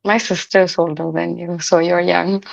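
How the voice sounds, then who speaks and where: A teenage girl with a deep voice and a sore throat, sarcastic and deadpan, who swears casually. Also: normal speed, vocal fry. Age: late teens. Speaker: video chat stranger. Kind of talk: casual chat. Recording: lo-fi video chat audio.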